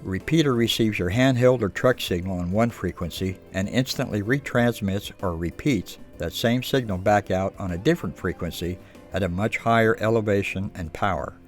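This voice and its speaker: Lowish male narrator reading a training script, bit of music in back. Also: normal speed.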